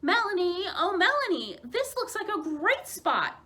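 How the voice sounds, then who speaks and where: A woman voicing an eager young female moose in a children's story, excitable, playful and determined. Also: extremely high pitch, unhurried, pitched above the performer's own voice, exaggerated voice. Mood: excited, calling. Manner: excitable, bouncy delivery.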